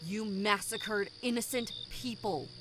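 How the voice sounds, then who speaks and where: A cold, commanding rebel leader, played by a woman in an audio drama. She speaks with bitter, deliberate menace at a medium pitch. Clear noise of night insects sits behind the voice. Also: fairly even intonation, close to an everyday voice. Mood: angry, accusing.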